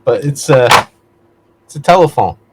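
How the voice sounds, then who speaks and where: Casual rambling man, mid-pitched voice. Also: animated, measured pace, noisy background. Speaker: video host, vlogger. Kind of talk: casual rambling spoken vlog.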